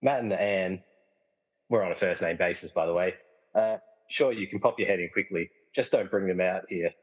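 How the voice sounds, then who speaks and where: A man playing an easygoing, casually explaining film lover trapped in a movie, in a comedy radio play, with a husky voice and long reverb. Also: deep voice, moderate pace, dull audio. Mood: amused, casual. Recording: long reverberant hall echo.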